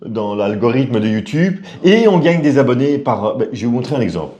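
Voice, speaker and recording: Chatty middle-aged man explaining calmly. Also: mid-range voice, a little fast. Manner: relaxed, chatty, explains step by step. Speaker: family vlogger. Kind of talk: casual chat to camera.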